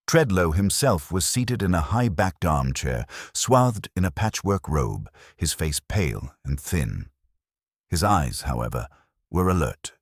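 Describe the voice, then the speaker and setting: A man narrating a scripted detective mystery in a measured, dramatic storytelling manner. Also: extremely low pitch, breathy edge, dry recording, studio quality.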